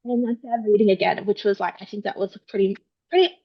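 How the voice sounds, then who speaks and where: A woman's voice: medium pitch, fairly even intonation, fast, husky, dull audio. A young Australian woman chatting as a guest author in an interview, full of fillers and quoted asides. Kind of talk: casual interview chat.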